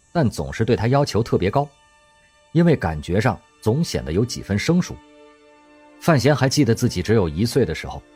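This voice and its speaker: A male radio drama narrator with a husky, slightly low voice, telling the story expressively. His pitch rises and falls in lively, animated swings. Soft music plays under his narration.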